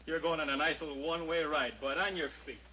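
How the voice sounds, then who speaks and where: Fast, forceful gangster henchman. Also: fairly high pitch, fairly even intonation. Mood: menacing. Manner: tough, slangy. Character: impatient trigger-happy gangster henchman, menacing. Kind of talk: old-time radio drama acting. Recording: faintly noisy old radio recording.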